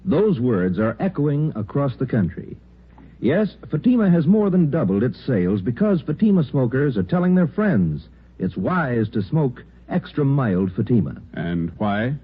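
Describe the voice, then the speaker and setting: Animated, husky vintage radio announcer, male. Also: mid-range voice, a little slow. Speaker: commercial announcer. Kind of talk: 1950s radio advertising announcing. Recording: muffled, band-limited vintage radio broadcast.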